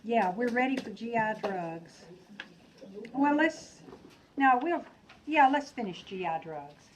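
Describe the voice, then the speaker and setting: Slow, hesitant woman teaching a class. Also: on the high side, expressive, noisy background. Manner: unhurried, thinking aloud, hesitant. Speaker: nursing instructor. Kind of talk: classroom lecture.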